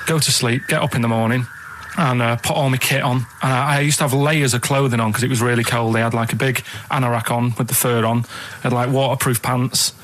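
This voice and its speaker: A man with a mid-pitched voice co-hosts a radio show. He tells stories casually, wandering through small details in earnest. His wording is plain and unpolished. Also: fairly bright tone. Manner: rambling, plain-spoken, earnest. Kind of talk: casual chat and anecdote telling.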